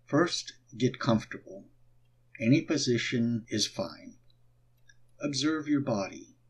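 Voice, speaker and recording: A man narrating a guided meditation, giving instructions in short, deliberate phrases. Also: mid-range voice, lively intonation, a little hiss or hum.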